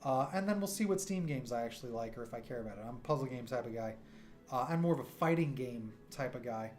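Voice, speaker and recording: A man's voice: mid-range voice, quick pace. A man in his thirties with a New York accent, chatting casually and animatedly while livestreaming his art from home. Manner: animated, self-deprecating, loose and chatty. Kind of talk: casual rambling livestream chat. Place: home streaming room. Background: soft synth music.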